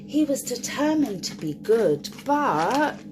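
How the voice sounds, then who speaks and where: A woman storyteller reads to small children with a highly animated, fairly high voice. She takes it slowly, drawing out key words and addressing the young listeners directly. There is clear background noise on the recording. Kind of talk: expressive picture-book read-aloud for children.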